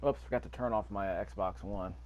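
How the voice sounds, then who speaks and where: A man's voice: fairly low pitch, fairly even intonation, a little fast, darkish tone, dull audio. A man giving offhand, rambling gameplay commentary and reading prompts aloud as he plays. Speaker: gaming video host. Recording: faint noise behind the voice.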